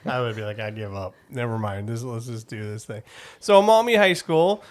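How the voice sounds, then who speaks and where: A man's voice: mid-range voice, expressive, moderate pace. A man hosting a podcast, chatting and asking questions in a jokey, informal way. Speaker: podcast host. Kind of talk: casual chat, asking questions.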